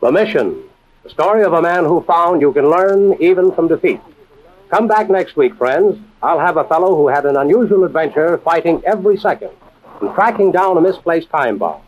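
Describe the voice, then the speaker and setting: An actor playing a composed, worldly adventurer and storyteller who hosts a 1940s radio drama in a polished, measured way. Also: male voice, on the high side, lively intonation, natural voice. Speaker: host. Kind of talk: 1940s radio acting and narration. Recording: muffled vintage radio recording, music behind.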